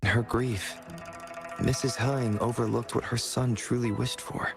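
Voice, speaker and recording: A man acting a calm, considerate young character in a video game, speaking thoughtfully. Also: mid-range voice, normal speed, vocal fry, natural voice. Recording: game music behind the voice.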